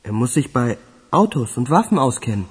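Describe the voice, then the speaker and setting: A man's voice: mid-range voice, very animated, moderate pace, breathy edge. A young male performer playing a cocky, earnest schoolboy in a German radio drama. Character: cocky, earnest schoolboy keen on cars and guns. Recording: roomy, reverberant acoustics.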